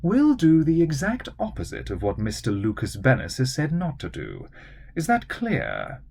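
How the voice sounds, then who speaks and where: A man's voice: a little high, huge pitch swings, moderate pace, vocal fry, darkish tone, breathy edge, voice pushed up, full character voice. A man plays a haughty woman villain from a children's spy story. The voice is raised and swoops widely. The manner is calculating and imperious, every phrase emphatic. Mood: commanding.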